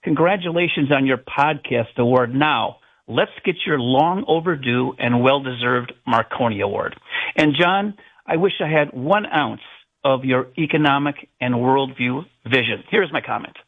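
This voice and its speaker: A man's voice: mid-range voice, normal speed, slightly rough. An older man from the United States calling a radio show, giving a prepared comment in an earnest, formal way. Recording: tinny narrow phone line.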